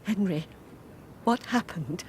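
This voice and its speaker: An actress in a radio drama playing an older woman, shocked and tender, pleading in a halting, breathy voice. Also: fairly low pitch, expressive, a little mellow, full character voice. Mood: distraught, tender. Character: shocked, tender woman reunited with lost sweetheart.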